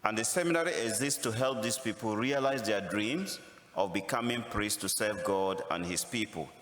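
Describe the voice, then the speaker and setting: A man with a Ghanaian accent, a visiting priest giving a missionary appeal homily, speaking slowly and deliberately with touches of humor. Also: fairly low pitch, a bit bright. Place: large church. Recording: echoey church from a distance.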